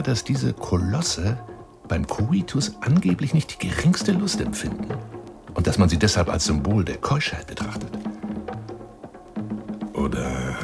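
An eccentric, obsessive big-game hunter in a radio play monologue, courting a woman over the telephone. His low, gravelly voice swings wildly, effusive and insinuating, between hushed confidences and exclamations. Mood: boastful storytelling.

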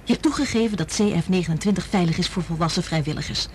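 A woman's voice: on the low side, fast talker, slight background noise, lightly acted. An actress in a radio drama playing an anxious, determined woman scientist who speaks urgently and earnestly. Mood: determined, persuasive.

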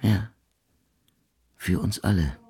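A man playing a brooding intellectual composer in a radio play, husky, speaking in deliberate, formal musings with detached irony. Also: sits low, mellow. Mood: musing, ironic. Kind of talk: radio play dialogue and literary recitation.

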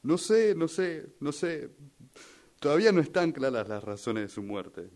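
Un actor como hijo en duelo, argentino, dubitativo. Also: male voice, fairly high pitch, sing-song, a little slow, darkish tone. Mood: troubled, doubtful. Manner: hesitant, repeats phrases. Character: grieving son troubled by suspicions over his father's death. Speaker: radio drama workshop participant. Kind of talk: rehearsed radio drama acting.

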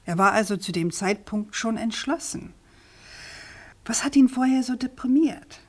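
An actress playing a persistent, sceptical journalist in a radio crime drama, speaking in a probing, deliberate and emphatic way. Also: female voice, fairly high pitch, expressive, darkish tone. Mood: questioning, insistent. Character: persistent, sceptical female journalist questioning a prosecutor.

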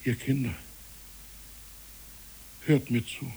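A man's voice: medium pitch, flat delivery, takes it slowly, vocal fry, darkish tone, low-quality recording. An older man acting a fanciful, tender old village pastor in a 1960s radio play, pleading and dreamy as he rambles on to children. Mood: wistful, pleading. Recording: hissy 1960s radio recording.

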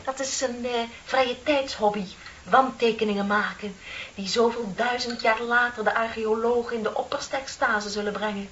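A woman's voice: a little high, fairly even intonation, moderate pace, fairly bright tone, natural voice. Actress as a chatty, dreamy office woman, hissy recording. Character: chatty, dreamy younger female office clerk. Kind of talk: Dutch radio play acting. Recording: dull, hissy old radio recording.

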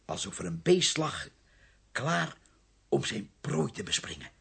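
An actor playing a confused, frightened drifter in a radio play, halting and stammering over repeated words in a husky, creaky voice. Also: male voice, mid-range voice, sing-song, fairly bright tone, exaggerated voice. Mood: frightened, haunted. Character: confused, frightened male drifter confessing to a killing. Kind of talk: Dutch radio play acting.

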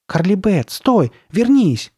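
A man's voice: a little high, big pitch swings, normal speed, mellow, breathy edge, dry recording, clean studio sound, pitched above the performer's own voice. A man voicing a worried, careful girl who coaxes and soothes with growing unease, in a horror storybook. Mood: alarmed, pleading. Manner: coaxing, puzzled, growing fearful. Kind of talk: dramatised horror storybook reading.

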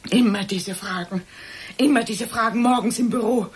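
Husky, distraught woman pleading her innocence. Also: a little high. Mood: agitated, confessing. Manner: halting, pleading, defensive. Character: distraught cornered woman, evasive, pleading, guilt-ridden. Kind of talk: German radio play acting.

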